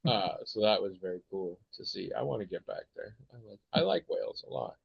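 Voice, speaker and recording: Casting note: American male podcast host, lowish voice, meandering and full of ums, dull dry lo-fi sound. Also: lively intonation, brisk, creaky, breathy edge, no echo. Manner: rambling, hesitant, many ums and restarts. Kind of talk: casual chat.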